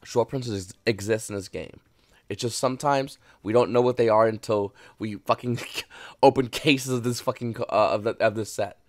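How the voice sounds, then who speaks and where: A man giving casual, opinionated commentary and swearing now and then, keeping his voice lowered at home. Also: moderate pace, a little mellow, no room sound. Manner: keeps voice lowered. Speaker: card market commentator. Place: home, early morning.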